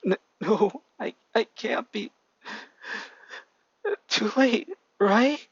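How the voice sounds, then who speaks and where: A man's voice: high-pitched, drawn-out words, breathy edge, no room sound, muffled recording, pitched above the performer's own voice, full character voice. A man voicing a grieving, guilt-ridden young man, speaking in a raised, stammering, choked voice.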